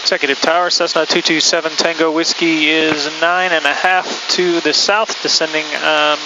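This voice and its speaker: A man's voice: a little high, level tone, moderate pace, lo-fi sound. A man with an American accent, a student pilot talking on a headset in a small plane, making radio calls and casual comments. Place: inside small propeller plane cockpit in flight. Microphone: aviation headset. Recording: loud engine drone, noisy cockpit headset audio. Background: aircraft engine noise.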